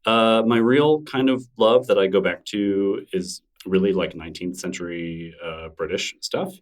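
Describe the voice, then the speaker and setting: Low-voiced man, relaxed and rambling. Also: a little slow, far from the mic. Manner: relaxed, rambling, self-deprecating with frequent restarts. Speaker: podcast guest. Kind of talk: casual interview conversation.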